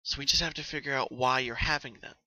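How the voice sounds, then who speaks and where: A man's voice: medium pitch, fairly even intonation, fast talker, bright, forceful tone, no room sound, low-quality recording. A man voicing a studious, caring young female unicorn who speaks in an earnest, analytical and reassuring manner in a story reading.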